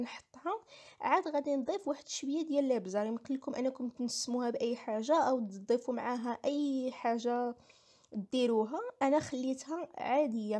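Moroccan lady doing a casual cooking voiceover, high mellow voice, explains stuff step by step. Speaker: home cooking vlogger. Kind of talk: casual recipe voice-over.

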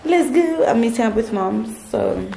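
A woman's voice: a little high, wildly swooping pitch, moderate pace, vocal fry, noisy background. A young woman, chatting casually to camera as a vlogger and mixing in Zulu words. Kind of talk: casual vlog chat to camera. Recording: echoey room with loud background music.

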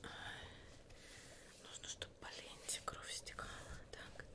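Whispering young witch seamstress played by a woman. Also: close to an everyday voice. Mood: busy, focused. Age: young adult. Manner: soft, unhurried, soothing, with murmured repetitions. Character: friendly, busy witch seamstress fitting a Halloween costume. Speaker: ASMR performer. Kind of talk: whispered ASMR roleplay. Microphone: close microphone.

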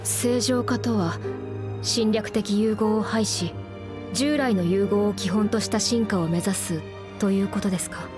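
A woman plays a composed young woman in a game cutscene who asks questions in formal, courteous speech. Her voice is mid-pitched, with game music playing clearly under it. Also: fairly even intonation, a little slow, lightly acted. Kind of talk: video game cutscene voice acting. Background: game soundtrack music.

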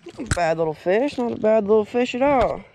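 A man's voice: high voice, lively intonation, a little fast, gravelly, a little mellow. A young American man giving casual, folksy fishing vlog commentary with repeated short phrases, outdoors on a boat. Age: young adult. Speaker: fishing vlogger. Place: outdoors on a small boat on a lake. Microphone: action camera, worn or at arm's length. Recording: boat and outdoor background noise.

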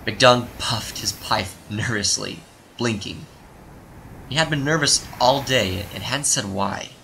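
Low, forceful male story narrator. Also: slow pace, gravelly, slight background noise, poor audio. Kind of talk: dramatic scripted narration of a story.

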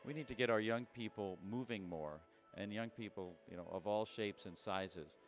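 A man in a documentary interview, speaking in an earnest, measured way. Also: on the low side, faint music behind.

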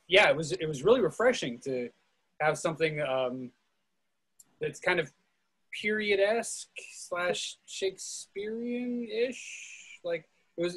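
A man's voice: on the high side, slightly rough, rough recording. A man hosting an online readers theatre, moderating a casual discussion over a video call. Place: indoors at home on a group video call.

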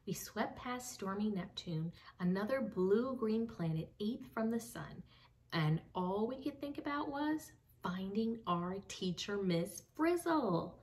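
A young woman reading a children's book aloud expressively, with dramatic pauses, addressing young listeners. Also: medium pitch, lively intonation, darkish tone. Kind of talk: expressive read-aloud storytelling. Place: small home room with bookshelves. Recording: slightly distant mic, faint background noise.

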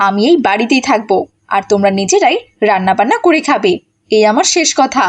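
A high female voice put on by a narrator plays a selfish, phone-obsessed wife in a cartoon. She is brash and stubborn, with dramatic, defiant whining. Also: animated, a little fast. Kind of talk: melodramatic cartoon voice acting.

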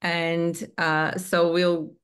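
A woman's voice: on the low side, flat delivery, extremely slow, smooth. A woman in her thirties from Portugal, a Portuguese native speaker, introducing herself as a program director on a webcam from a room at home; careful, with restarts. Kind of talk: panel self-introduction. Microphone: video call webcam.

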